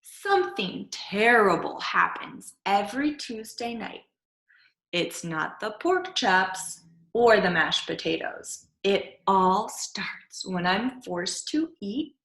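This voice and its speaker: Storybook young boy, performed by a woman: theatrical, full of dread, highly animated pitch, drawn-out suspense. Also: gravelly, roomy sound, heavily acted. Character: dramatic young boy who dreads eating peas. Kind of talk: animated storybook read-aloud.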